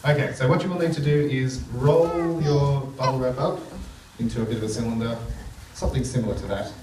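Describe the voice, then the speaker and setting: A man's voice: medium pitch, steady tone, a little fast. A man announcing and narrating a live radio serial to a theatre audience. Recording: echoey theatre, distant mic, audience noise.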